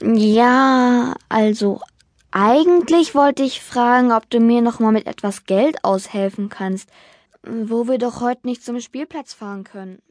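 A woman acting an enthusiastic young schoolboy with a high voice. The boy talks candidly and eagerly, as in a children's radio play. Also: a little slow, a little mellow, no room sound, studio quality, close to an everyday voice. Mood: hesitant. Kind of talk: children's audio drama acting.

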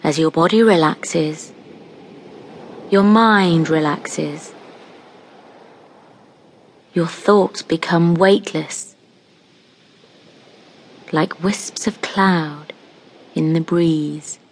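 Very slow, low-voiced woman guiding meditation. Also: a little hiss or hum. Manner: calm, soothing, unhurried with long pauses. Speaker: guided meditation narrator. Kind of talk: scripted guided meditation, hypnotic relaxation narration.

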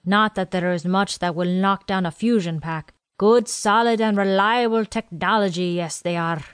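A woman's voice: medium pitch, normal speed, smooth, no room sound, studio quality, natural voice. Woman putting on a wheezy nasal old quartermaster. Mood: fussy. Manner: fussy, teasing, rambling.

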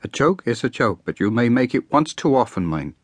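A man's voice: mid-range voice, sing-song, fairly quick, breathy edge, no room sound, voice pushed up. A man voicing a weary young rustic wife who speaks sternly and firmly. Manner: stern and firm.

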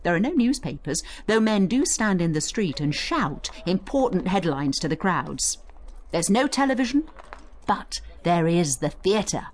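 A woman giving scripted educational narration as a guide, in an instructive, expressive storytelling manner. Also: lowish voice, a little slow. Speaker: study guide narrator and tour guide.

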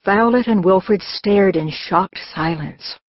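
A woman's voice: medium pitch, slow pace, no room sound, dull audio. A woman narrating a scripted children's audiobook.

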